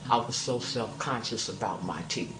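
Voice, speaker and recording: A man's voice: on the low side, moderate pace. Man's testimonial in an echoey, noisy room. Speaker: patient giving a testimonial. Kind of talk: personal video testimonial. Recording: echoey room with clear background noise.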